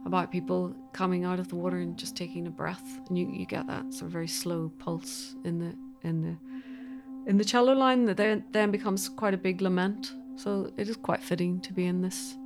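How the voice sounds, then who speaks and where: A woman's voice: fairly low pitch, steady tone, fairly quick, over music. A woman speaking as an interview guest, thoughtfully explaining her work as a composer in loose, unfolding sentences. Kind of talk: reflective interview conversation.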